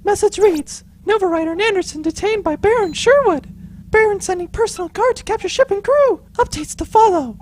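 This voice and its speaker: Woman playing a very high-pitched flustered radio officer. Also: expressive, brisk, a little mellow, a little hiss or hum. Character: flustered junior starship radio officer, eager and deferential. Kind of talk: space adventure audio drama acting.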